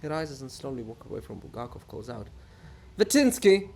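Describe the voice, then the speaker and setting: A man reading stage directions from a play as scripted narration, in a measured, subdued manner. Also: medium pitch, creaky, slightly rough. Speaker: reader of a play.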